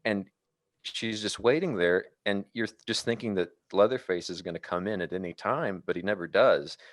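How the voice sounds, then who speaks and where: Deep-voiced man talking film, dry sound. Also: normal speed, no echo. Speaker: podcast panelist. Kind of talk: casual film discussion.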